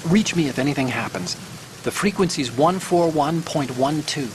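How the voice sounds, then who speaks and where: An actor playing a young, fussy male computer hacker who talks quickly and a bit nervously while guiding a soldier. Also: on the high side, lively intonation, background noise, lightly acted. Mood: instructing. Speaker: mission support. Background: music and rain.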